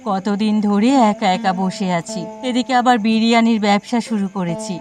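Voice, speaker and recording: A woman playing a confident, homely village wife in a cartoon, chatting in a measured way. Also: mid-range voice, steady tone, a little mellow, soft background music, close to an everyday voice. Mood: friendly, pleased. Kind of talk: cartoon voice acting.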